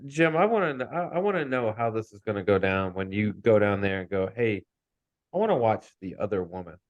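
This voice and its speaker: A man co-hosting a podcast, teasing and animated, who often stumbles over the first words of a line. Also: lowish voice, fairly quick, highs cut off. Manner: animated, teasing, stammers at starts. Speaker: podcast co-host. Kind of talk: casual interview chat.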